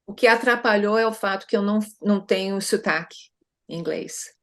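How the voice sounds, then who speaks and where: A woman's voice: mid-range voice, a little fast. A middle-aged Brazilian woman consultant speaking conversationally in an interview, often adding tag questions and restarting her sentences. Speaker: consultant guest.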